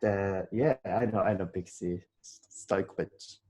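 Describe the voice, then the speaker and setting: A man's slightly low voice with a faint New Zealand lilt over a Japanese background. He talks haltingly, restarting sentences and leaning on fillers as he chats with his guest. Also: fairly even intonation, mellow. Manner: hesitant, many fillers and restarts. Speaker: interviewer. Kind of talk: casual interview chat.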